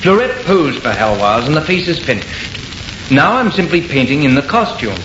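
An actor playing an exasperated, short-tempered painter husband in an old-time radio horror drama. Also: male voice, a little high, very animated, normal speed. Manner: impatient, irritable, theatrical. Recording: muffled, noisy, echoey old radio recording.

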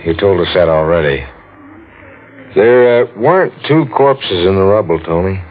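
An actor playing a calm, matter-of-fact police sergeant with a measured, dry manner in 1940s radio drama style. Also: male voice, sits low. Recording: tinny old radio recording, faint noise.

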